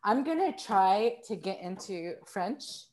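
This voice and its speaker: A woman chatting as a podcast guest, telling stories in an animated, self-mocking way. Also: medium pitch, slow. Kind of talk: casual conversational storytelling.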